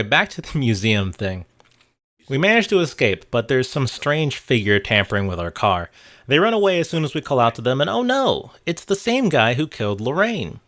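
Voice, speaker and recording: Animated man narrating a video essay. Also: medium pitch. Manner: sarcastic, conversational. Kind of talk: scripted video game commentary.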